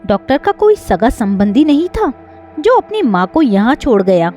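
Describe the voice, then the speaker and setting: A woman's voice: on the high side, big pitch swings, normal speed, mellow, faint music behind. A woman voicing gossipy, suspicious elderly residents of an old-age home in a story. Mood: gossipy, curious. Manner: questioning, gossipy.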